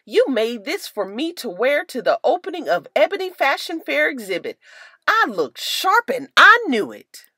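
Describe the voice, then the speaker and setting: A woman's voice: high-pitched, huge pitch swings, moderate pace, pushed and bright, no room sound, studio quality, pitched above the performer's own voice, exaggerated voice. A woman voicing proud, sassy lady hat customers in a storybook, with an emphatic delivery. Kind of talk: storybook character voice.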